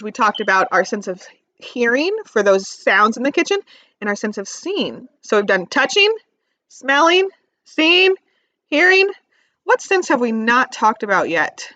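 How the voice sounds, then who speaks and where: A woman teacher reading aloud to young children, expressively stressing key words and pausing to ask simple questions. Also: a little high, sharp tone, dry recording, lo-fi sound. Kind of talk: read-aloud lesson with questions to young listeners.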